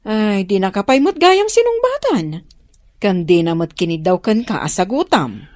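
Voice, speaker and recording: A woman's voice: medium pitch, sing-song, normal speed, a little mellow, lightly acted. A woman with an Ilocano Filipino accent playing a concerned, inquisitive mother in a radio drama. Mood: probing, chiding. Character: concerned, inquisitive mother advising her grown son.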